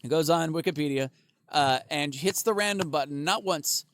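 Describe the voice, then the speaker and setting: A man's voice: on the high side, normal speed, fairly bright tone, a little hiss or hum. A man co-hosting a comedy podcast, riffing in casual banter and laughing often. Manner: joking, laughs often, goofy riffs. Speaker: podcast co-host.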